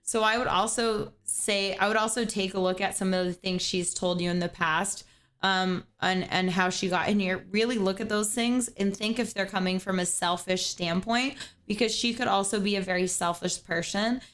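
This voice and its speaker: A woman's voice: mid-range voice, steady tone, normal speed, fairly bright tone. A young woman hosting a livestream, giving a caller earnest advice in a casual chat and repeating words as she thinks. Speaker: streamer host giving advice.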